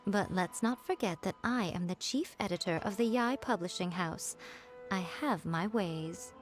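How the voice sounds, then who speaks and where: A woman's voice: mid-range voice, measured pace, faint music behind, lightly acted. A woman voicing a sly, self-assured fox-spirit shrine maiden in an anime game, poised, teasing and commanding. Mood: smug, commanding.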